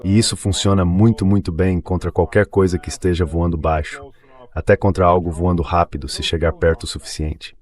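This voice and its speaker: A man with a low-pitched voice speaks as a guest in a dubbed interview. He explains things conversationally and slips into anecdote, keeping a deep register. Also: level tone, fairly quick, darkish tone. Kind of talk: dubbed translation of a spoken interview.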